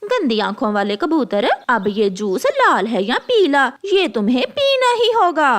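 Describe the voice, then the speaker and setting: A woman's voice: sits high, huge pitch swings, unhurried, exaggerated voice. A woman voicing a scheming, bossy female crow in a children's cartoon, with a pushy, sing-song delivery. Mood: threatening.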